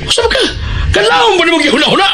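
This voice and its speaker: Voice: extremely high pitch, sing-song, rapid-fire. An actor playing an irate, scolding character who fires off exclaimed questions with a Cebuano accent in a radio drama. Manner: exclaiming, interrogating. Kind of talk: Filipino radio drama acting. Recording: radio drama with music behind.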